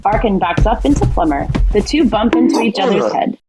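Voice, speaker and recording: A woman's voice: medium pitch, big pitch swings, a little fast, over loud music. A woman reading stage directions as scripted narration for an audio play.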